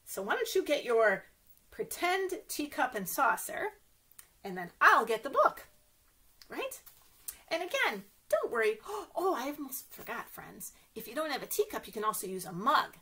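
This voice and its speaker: Bright, animated woman reading to children. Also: high voice, moderate pace. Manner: animated, sing-song, addresses children directly with questions. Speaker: children's librarian reading stories to kids. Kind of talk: read-aloud story time for young children.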